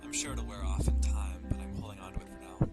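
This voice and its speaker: A man's voice: low-pitched, fairly even intonation, fast talker. A man reading visual novel text aloud and commenting casually, as the host of a let's play. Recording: loud music behind the voice. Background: loud guitar music.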